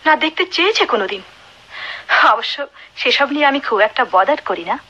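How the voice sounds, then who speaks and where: Bright-voiced, proud glamorous temptress. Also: female voice, sits high, very animated, moderate pace, heavily acted. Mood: defiant, teasing. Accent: Bangladeshi. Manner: teasing, defiant, theatrical. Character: proud, flirtatious glamorous woman hiding sorrow. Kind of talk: radio drama acting. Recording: dull, noisy old radio recording.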